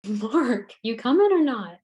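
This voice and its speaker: A woman's voice: on the high side, very animated, a little slow, mellow. A woman playing an attentive, motherly hospital worker in a screenplay table read. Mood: brisk. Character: motherly, attentive medical woman, phlebotomist or doctor.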